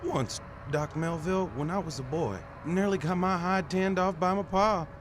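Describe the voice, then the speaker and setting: A man's voice: high voice, normal speed, close to an everyday voice. A man playing a folksy, wary small-town constable in a rural American drawl, in an old-time radio style. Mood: folksy, cautioning. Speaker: actor in a radio mystery. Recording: noisy old radio drama recording.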